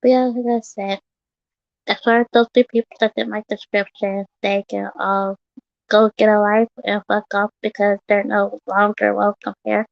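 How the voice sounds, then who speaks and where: A woman in her thirties rambles bluntly on a livestream, repeating herself and swearing freely. Her voice is gravelly with plenty of fry and a touch hoarse from earlier yelling. The sound is dry and somewhat muffled and lo-fi. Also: mid-range voice, level tone, normal speed, no echo. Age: 30s. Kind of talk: casual livestream rant.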